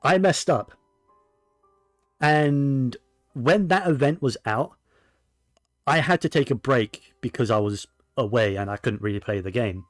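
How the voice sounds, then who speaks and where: A man's voice: mid-range voice, no room sound. A man giving casual, rambling gaming commentary, often correcting himself as he goes. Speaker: game streamer.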